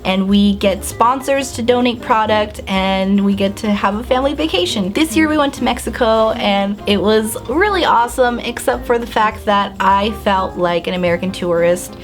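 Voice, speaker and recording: A young woman chats to her camera in a bubbly storytime manner, acting out her own reactions as she goes. Her voice sits at an ordinary middle pitch. Faint music plays softly under her voice. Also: moderate pace, few pauses. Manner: bubbly, animated storytelling with lots of "like" and acted-out reactions. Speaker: beauty and lifestyle vlogger. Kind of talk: casual storytime vlog.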